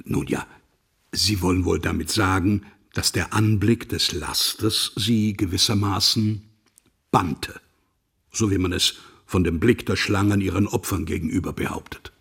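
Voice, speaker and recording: Radio play casting: admonishing older school director, gravelly and deeply hoarse-edged, formal delivery. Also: male voice, steady tone, measured pace, full character voice. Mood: stern, probing. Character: stern, formal older male school headmaster.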